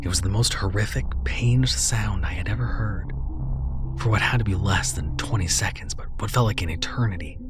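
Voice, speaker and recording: A man narrating a horror story in a low, hushed, tense voice that slips into whispers. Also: moderate pace, over loud music.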